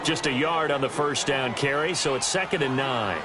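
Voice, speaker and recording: A man's voice: mid-range voice, moderate pace, lightly acted. A man with an American accent voicing a polished play-by-play announcer in a football video game. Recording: game audio with loud stadium noise. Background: loud stadium ambience.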